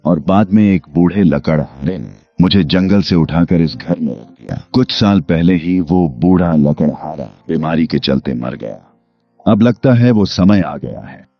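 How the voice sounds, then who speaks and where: A man playing the grave, restless ghost of a murdered man, speaking in a measured way in an animated story. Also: deep voice, gravelly, exaggerated voice. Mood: grave, sorrowful. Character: murdered man's restless ghost bound to a chest, solemn. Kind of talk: animated Hindi moral story acting. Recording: dull lo-fi audio with music behind.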